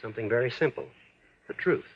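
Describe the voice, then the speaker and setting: An actor playing a firm, persuasive doctor, formal and earnest, in 1940s radio drama style. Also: male voice, mid-range voice, fast, slight background noise, lightly acted. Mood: insistent. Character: firm, insistent doctor, persuasive and self-assured. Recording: muffled old radio recording.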